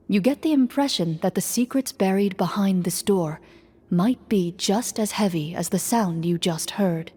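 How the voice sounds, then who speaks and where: A woman playing a composed, solemn duelist and game master in a video game, speaking formally and with measured deadpan. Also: on the low side, slight background noise, close to an everyday voice.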